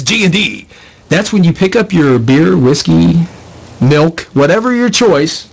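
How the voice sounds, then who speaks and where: A man's voice: on the high side, low-quality recording. A man hosting a casual paranormal radio show, joking and laughing as he rambles through banter with his co-host. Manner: jokey, laughs often, rambling.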